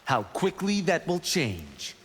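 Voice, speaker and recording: A man's voice: on the high side, wildly swooping pitch, slow pace, fairly bright tone, breathy edge, full character voice. A man playing a proud, eager young martial arts monk in a video game, boastful and self-assured. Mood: cocky. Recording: long hall reverb.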